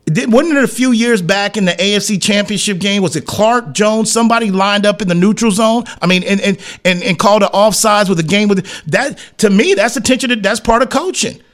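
High-pitched man giving blunt sports commentary. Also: a little fast, hardly any pauses, a bit bright. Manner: emphatic, blunt, repeats phrases for emphasis; colloquial speech with double negatives and slang. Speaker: sports talk show host. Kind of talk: opinionated sports commentary monologue.

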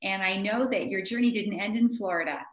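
A woman host leads a faith interview in an encouraging, affirming manner. Her mid-pitched voice carries some room echo. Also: brisk.

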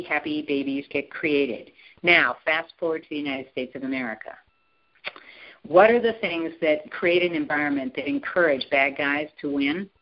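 Emphatic woman on a lo-fi line. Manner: emphatic, enthusiastic, pitch jumps up for emphasis. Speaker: guest. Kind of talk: animated interview talk.

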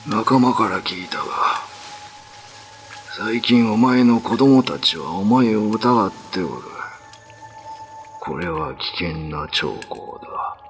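A man acts out a grave elder of the monster cats in a radio ghost story. The voice is deep and unhurried. The phrasing is old-fashioned and full of distrust, over clear music. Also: expressive. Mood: grave warning. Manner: solemn, archaic elder's phrasing.

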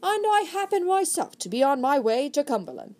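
A woman voicing a proud, theatrical male actor who takes command with grand, booming delivery. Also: very animated, a little fast, a little mellow. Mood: grave.

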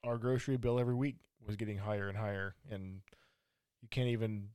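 A man's voice: lowish voice, fairly even intonation, fairly quick, darkish tone, smooth, dry recording, clean studio sound. A man interviewing a friend in a casual conversation, agreeable and mostly giving short replies. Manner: agreeable, brief backchannel replies.

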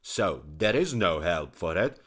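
A man's voice: sits low, slow. A man voicing a wrathful, suspicious king from an old tale, declaiming in archaic speech. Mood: insistent.

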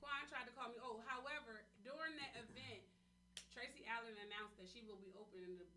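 Bright, animated woman radio host. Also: fairly high pitch, fairly quick, recorded from a distance, slight background noise. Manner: animated, laughing, repeats "listen". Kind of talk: casual radio chat.

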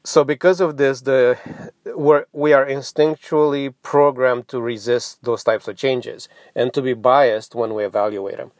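A man whose first language is Romanian, giving an informal explanatory podcast monologue in a halting, reflective manner with frequent pauses. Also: mid-range voice, fairly even intonation, no room sound. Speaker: podcast host.

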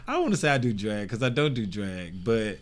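A man with a deep voice, chatting casually in a group discussion with playful, sassy asides. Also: big pitch swings, fairly quick.

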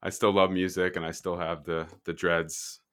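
A man hosting a podcast in relaxed casual chat, rambling with fillers and trailing off. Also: sits low, normal speed. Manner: laid-back, rambling, many fillers and trailing off. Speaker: podcast host.

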